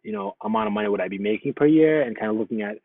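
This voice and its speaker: A man born in Somalia, a guest in a casual interview, talking in a relaxed, rambling way full of fillers and restarts. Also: on the low side, very fast, gravelly. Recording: tinny phone-like lo-fi line, dry.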